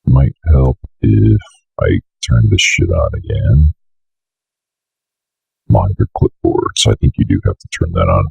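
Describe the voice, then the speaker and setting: A young man giving a casual, offhand screencast tutorial with some swearing, in a very deep, fry-laden voice close to a desk mic. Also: animated, a little slow, pauses often, darkish tone, dry recording, highs cut off. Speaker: tutorial host. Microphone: close desk microphone on an arm.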